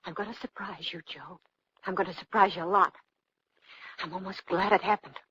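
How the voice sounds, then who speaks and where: An actress playing a worried, world-weary trucker's wife in 1940s radio melodrama, with an American accent. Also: female voice, mid-range voice, fairly even intonation, moderate pace, bright, forceful tone, a little hiss or hum, lightly acted. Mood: bitter, tearful. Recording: muffled 1940s radio broadcast.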